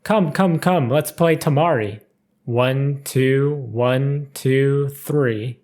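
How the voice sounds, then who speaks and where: A man reading as a gentle, playful young noblewoman in a storybook-style game lore narration. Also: mid-range voice, extremely slow.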